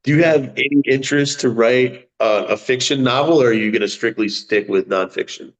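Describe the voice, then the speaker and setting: A man's voice: mid-range voice, a little fast, low-quality recording. A man hosting a podcast, asking friendly questions and adding brief affirmations. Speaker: podcast host. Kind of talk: casual interview.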